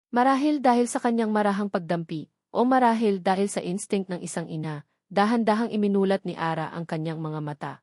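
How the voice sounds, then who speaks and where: A woman with a Filipino accent narrates a scripted dramatic story in a measured, solemn way with deliberate pauses. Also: medium pitch, darkish tone, no echo, studio quality. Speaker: audiobook narrator.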